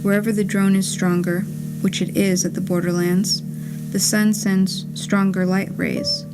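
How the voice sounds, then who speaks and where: A woman reading the part of a wry, anxious, lovesick traveller in a scripted puppet play, in a measured, confessional storytelling manner. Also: medium pitch, little pitch movement, natural voice, low-quality recording. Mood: wry worry. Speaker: reader performing a puppet play. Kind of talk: scripted first-person storytelling, letter-like monologue. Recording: live theatre reading over guitar music.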